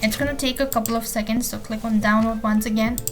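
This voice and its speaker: A woman hosting a software tutorial, giving step-by-step screencast narration in an instructional way with stock phrasing. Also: on the high side, fairly even intonation, fast talker. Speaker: tutorial host. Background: background music.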